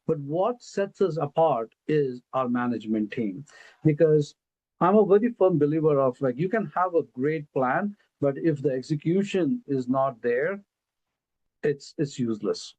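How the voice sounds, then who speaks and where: A man with an Indian accent presenting an investment pitch on a video call, speaking slowly and deliberately with many fillers. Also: darkish tone, no echo, muffled recording. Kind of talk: casual webinar presentation.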